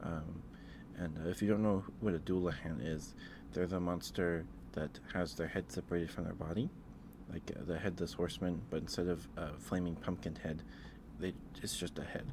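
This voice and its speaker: A man hosting an ASMR livestream, chatting casually in a halting, rambling way with pauses and repetitions. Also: deep voice, steady tone, noisy background. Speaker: livestream host.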